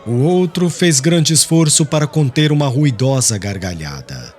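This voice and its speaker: A man's voice: a little high, lively intonation, moderate pace, darkish tone. A man narrating a literary audiobook in a formal storytelling manner.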